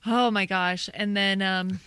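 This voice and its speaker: A woman's voice: mid-range voice, fairly even intonation, unhurried, fairly bright tone, clean tone, dry recording. A woman joining a music podcast as a guest, chatting casually and laughing often. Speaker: co-host guest. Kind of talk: casual chat.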